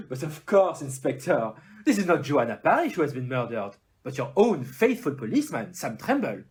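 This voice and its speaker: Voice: medium pitch, sing-song, normal speed, a little mellow, close to an everyday voice. Someone doing a fancy french detective guy for an audio play, super sing-song, polite and sure of himself, theatrical. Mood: amused, explaining. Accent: put-on French. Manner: formal, theatrical, sprinkled with French phrases.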